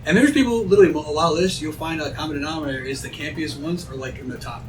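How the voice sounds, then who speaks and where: A man's voice: fairly high pitch, racing, recorded from a distance, slight background noise, poor audio. A man chatting casually in a group about movies, rambling and correcting himself as he goes. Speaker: panelist at a fan round table.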